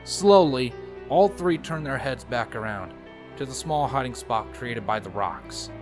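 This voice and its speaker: Male storyteller for dramatic fiction narration, medium pitch, light music bed. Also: animated, measured pace, darkish tone.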